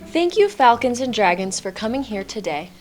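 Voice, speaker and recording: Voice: mid-range voice, big pitch swings, moderate pace, faint music behind, lightly acted. A female performer playing a polite blacksmith woman in a children's reader's theater play.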